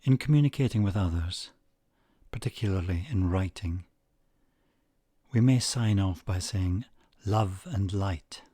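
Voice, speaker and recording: Very slow, low-voiced man guiding meditation. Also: warm tone, breathy edge, dry recording, studio quality. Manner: measured, with long pauses. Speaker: meditation guide. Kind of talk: guided meditation narration.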